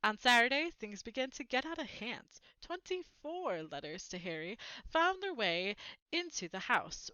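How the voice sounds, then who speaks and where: A woman reading a children's book aloud as an expressive storyteller. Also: on the high side, no echo, dull audio.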